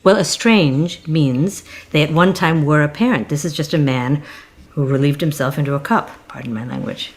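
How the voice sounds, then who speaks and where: A woman's voice: low-pitched, moderate pace, natural voice. An actress playing a middle-aged single mother in a comedic audio drama, polite and awkward, speaking hesitantly and tactfully. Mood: guarded, matter-of-fact.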